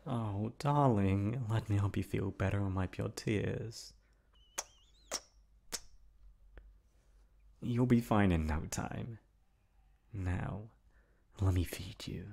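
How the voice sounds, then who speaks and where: A man's voice: on the low side, slow, gravelly, mellow, full character voice. A man playing a possessive, lonely young prince in an ASMR roleplay, speaking in formal, stilted phrases to the lover he holds captive. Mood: tender, controlling. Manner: formal, stilted diction, swinging from tender to commanding.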